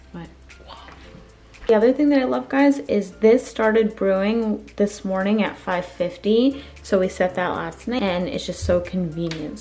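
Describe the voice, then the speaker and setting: A young woman vlogging at home, chatty and relaxed, walking through her evening and morning routine and talking to her viewers. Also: mid-range voice. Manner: chatty, relaxed, says "like" and "you guys" often. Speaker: lifestyle vlogger. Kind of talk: casual chat to camera, showing a routine. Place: her home, bedroom and kitchen.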